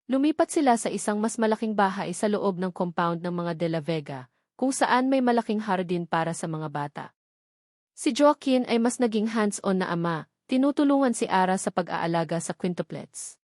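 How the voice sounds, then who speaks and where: A Filipino woman narrates a scripted romance story. Her voice sits at an average pitch for a woman. The recording is dry, with no room around the voice. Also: darkish tone, dry recording.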